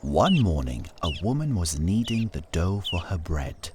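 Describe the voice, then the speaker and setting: A man narrating a scripted folktale as a storyteller, in a measured, solemn manner with deliberate phrasing. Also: low-pitched, big pitch swings, noisy background. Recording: studio narration over music. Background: music.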